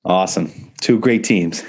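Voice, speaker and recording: A man's voice: low-pitched, slow, a little mellow. A man hosting an interview show, reading out a guest introduction and asking questions in an upbeat way. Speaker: podcast host. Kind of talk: reading an introduction, then chatting.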